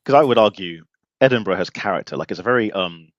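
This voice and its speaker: A man's voice: fairly low pitch, animated, fast, no room sound, muffled recording. A man of about forty hosting an interview, joking and teasing in loose, informal chat. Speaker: podcast host.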